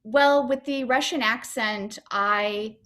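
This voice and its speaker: A woman's voice: on the high side, slow, a bit bright. A woman comedian and actor answering interview questions over a video call, chatty and upbeat, with lots of fillers and restarts.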